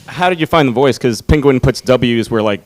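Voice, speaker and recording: A man's voice: mid-range voice, a little fast, a little mellow. A man working as an interviewer, asking questions in a conversational press interview style. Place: media room at a fan convention.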